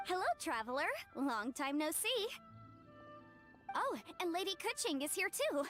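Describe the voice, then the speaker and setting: Young game consultant, high-pitched, animated, perky, earnest, self-justifying, articulate, faint music. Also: female voice, heavily acted. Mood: cheerful. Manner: bright, articulate, briskly explanatory. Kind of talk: video game voice acting. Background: background music.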